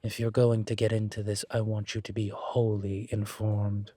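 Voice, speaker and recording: A man playing a calm, courteous incubus professor and investigator in an intimate audio roleplay, speaking considerately with careful pauses. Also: on the low side, no echo, close to an everyday voice. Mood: gentle, serious. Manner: measured, considerate, hesitant pauses. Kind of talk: scripted audio roleplay, intimate one-sided conversation.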